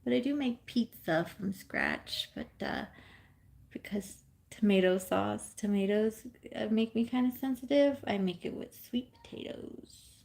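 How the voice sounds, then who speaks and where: A woman in her thirties from California talks on a casual home live stream. She unhurriedly rambles from topic to topic, knitting as she goes, her speech full of ums, restarts and little laughs, at a middling pitch. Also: sing-song, measured pace, gravelly, darkish tone. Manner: knits while talking.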